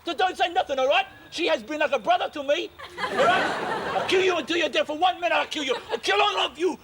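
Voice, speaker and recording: A man's voice: very high-pitched, fast talker, over a noisy crowd. An actor playing a dim, aggressive, overprotective young man who rants in muddled repetitions in live sketch comedy. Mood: threatening, indignant. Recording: noisy live audience recording.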